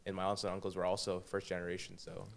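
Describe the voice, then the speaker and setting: Low-voiced young man, hesitant student guest. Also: monotone, quick pace, vocal fry. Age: young adult. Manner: hesitant, many fillers and restarts. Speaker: guest, high school senior. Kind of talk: interview answers. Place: small podcast studio. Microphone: boom-arm studio mic.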